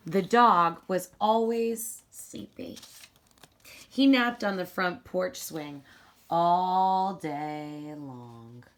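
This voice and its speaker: A woman reading a children's storybook aloud in a deliberate, emphatic, teacherly storytelling style. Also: on the low side, a little hiss or hum.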